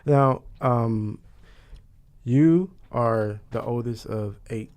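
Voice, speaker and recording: A man's voice: medium pitch, extremely slow, a little mellow. A male host interviewing a guest, with a habit of affirming her with "mm-hmm" and "right.". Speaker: podcast host. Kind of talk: interviewer asking questions.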